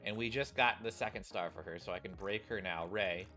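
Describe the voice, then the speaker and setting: Low-voiced man streaming a game. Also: fairly quick, bright, forceful tone. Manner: informal, rambling, addresses viewers. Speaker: gaming streamer. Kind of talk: casual game commentary and reading aloud of story text. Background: game music.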